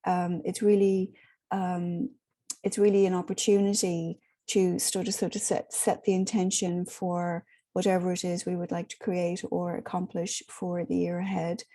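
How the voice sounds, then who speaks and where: Hoarse woman with a soft, mellow voice. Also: fairly low pitch. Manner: gentle, rambling, many ums. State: hoarse, sore throat. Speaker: spiritual workshop host. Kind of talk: casual talk to an online group.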